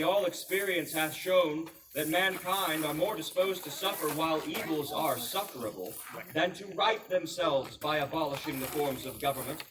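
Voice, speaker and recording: A man's voice: a little high, recorded from a distance, noisy background, lightly acted. A man playing a solemn, resolute colonial American statesman, reading a proclamation aloud as formal, stately public oratory with emphatic projection. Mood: measured, grave. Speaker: historical reenactor.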